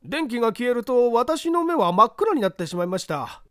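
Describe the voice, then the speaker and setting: Storybook foreman under questioning, played by a male reader: high, lively, earnest, very polite, dry close sound. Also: a little fast, no room sound, full character voice. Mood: earnest, deferential. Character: deferential male works foreman answering an interrogation.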